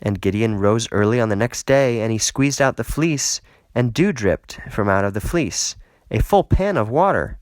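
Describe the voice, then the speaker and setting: A man narrating scripture from a script in a solemn, deliberate and dramatic manner. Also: medium pitch, lively intonation, no room sound. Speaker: Bible reader.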